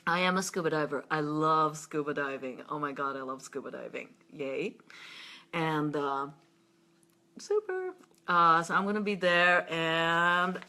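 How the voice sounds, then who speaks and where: Playful, teasing woman, low-pitched with a bright edge, casual live-stream host. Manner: playful, teasing, quick asides; slow breathy whisper when demonstrating a seductive voice. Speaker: sex educator hosting a live video chat. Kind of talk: casual chat. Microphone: webcam or laptop mic on a live stream.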